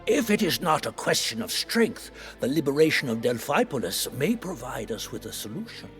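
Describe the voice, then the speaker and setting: A man's voice: medium pitch, sing-song, normal speed, a bit bright, soft background music. An actor playing a learned, courteous male advisor in a fantasy game, explaining things in formal phrases. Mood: thoughtful, hopeful. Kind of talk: scripted fantasy game cutscene acting.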